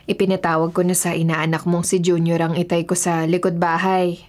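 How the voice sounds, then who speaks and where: A woman's voice: on the low side, flat delivery, fairly quick, a little mellow, natural voice. A woman playing a polite, hospitable wife and mother in a Filipino radio drama. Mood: polite, welcoming. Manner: polite, accommodating.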